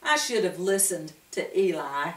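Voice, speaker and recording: A woman's voice: fairly low pitch, big pitch swings, measured pace. A woman voicing a sheepish young male wooden puppet who speaks hesitantly. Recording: roomy room, distant mic.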